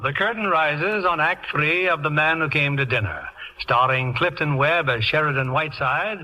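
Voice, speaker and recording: A man's voice: on the high side, moderate pace, bright, forceful tone, low-quality recording. A man hosting a radio theater broadcast in scripted 1950s style. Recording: old radio recording with faint music.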